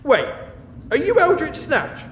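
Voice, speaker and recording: An actor playing an earnest, timid and loyal fantasy companion, polite and halting with rustic phrasing, in a comic audio drama. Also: sits high, wildly swooping pitch, exaggerated voice. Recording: roomy, distant mic with faint noise.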